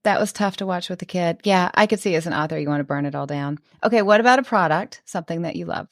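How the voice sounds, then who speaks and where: A woman in her forties hosts a relaxed interview, steering with short questions and quick encouraging replies. Her voice sits on the low side for a woman. The mic is close and dry, with no room sound. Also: dry recording. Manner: warm, brief affirming reactions and questions. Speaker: podcast host. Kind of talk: casual interview.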